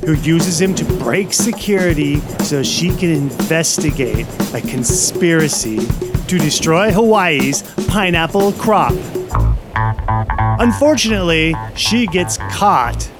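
A man with a notably high voice hosts a podcast. He presents material to listeners and interviews guests. Music plays clearly behind his voice. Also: expressive, slow. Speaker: podcast host.

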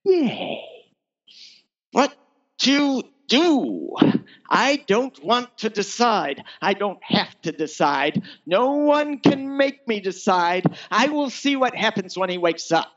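A man's voice: high voice, big pitch swings, slow pace, lo-fi sound, pitched above the performer's own voice, heavily acted. A man voicing an amoral female spy posing as a countess, arch, sardonic and melodramatic, in a first-person space opera audiobook. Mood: coy, indecisive.

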